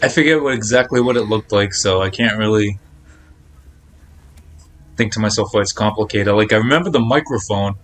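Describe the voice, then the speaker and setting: A man's voice: fairly low pitch, a little fast, long pauses, a bit bright. A man chatting casually on a live game stream, speaking in a blunt, admonishing, repetitive way. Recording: noticeable background noise.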